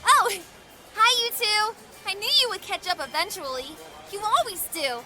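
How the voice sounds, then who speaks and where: Casting: sassy, chirpy young girl, very high-pitched, animated, audio drama. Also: normal speed, over loud music. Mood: cheeky, bright. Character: fast-moving, cheeky, food-loving young girl.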